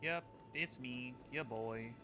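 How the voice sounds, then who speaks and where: A man's voice: medium pitch, slow. A man streaming a game, giving casual live commentary in short muttered reactions with some swearing. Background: game music.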